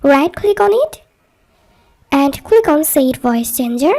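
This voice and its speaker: A woman with a high, mellow voice narrates a how-to guide at a slow pace. She presents it as a scripted tutorial, laying out instructions one step at a time. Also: big pitch swings.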